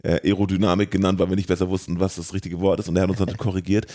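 A man's voice: low-pitched, flat delivery, very fast, warm tone. A man co-hosting a podcast, chatting casually about films in a colloquial, rambling way. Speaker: podcast co-host.

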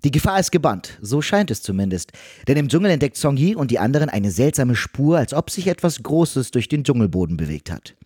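A man's voice: mid-range voice, very animated, brisk, a little mellow, breathy edge, no room sound, studio quality. A man reading a scripted comedic film recap in a wry, sarcastic manner.

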